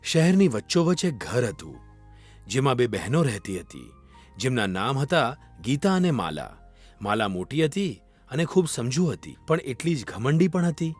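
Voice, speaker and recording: A man narrating a scripted children's fairy tale as a storyteller. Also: mid-range voice. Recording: music under the voice. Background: background music.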